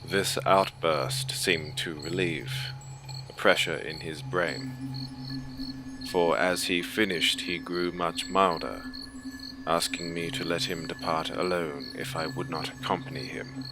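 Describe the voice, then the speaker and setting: A man playing a cold, haughty German naval officer recounting a horror story in a formal, measured, grave manner. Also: low-pitched, music in the background. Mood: grave, detached. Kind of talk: scripted audiobook horror narration. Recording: clear ambient noise bed behind voice. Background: ambient soundscape.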